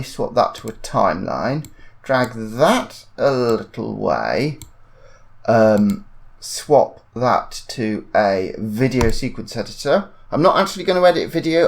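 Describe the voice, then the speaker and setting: Man presenting, with lively, swooping pitch. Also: vocal fry. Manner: hesitant, thinking aloud, self-correcting. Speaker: tutorial presenter. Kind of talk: informal screen-recorded software tutorial.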